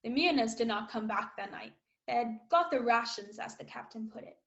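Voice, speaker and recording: A woman voices a plucky young boy recounting his sea adventure in a radio drama. The voice is fairly high, and the storytelling is measured and literary. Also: animated, fairly bright tone, dull audio. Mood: proud, earnest. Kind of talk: scripted storytelling narration in a radio play.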